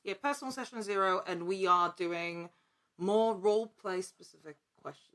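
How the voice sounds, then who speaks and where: A woman's voice: medium pitch, moderate pace, a bit bright. A woman talking casually as an interview guest, earnest and thinking aloud in broken phrases. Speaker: podcast guest, actor and actual-play storyteller.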